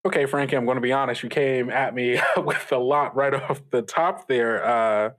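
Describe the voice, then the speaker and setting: A man's voice: mid-range voice, normal speed, fairly bright tone, natural voice. A man playing an apologetic student radio host, stammering and placating in a casual improvised audio drama.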